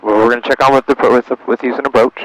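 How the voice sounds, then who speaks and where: A man's voice: medium pitch, fairly even intonation, racing, a bit bright, poor audio. A man piloting a small plane, thinking aloud in short procedural phrases and making radio calls over a headset. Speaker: pilot narrating his own flight. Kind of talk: cockpit vlog narration mixed with radio calls. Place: small airplane cockpit. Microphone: aviation headset. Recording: faint engine and radio noise.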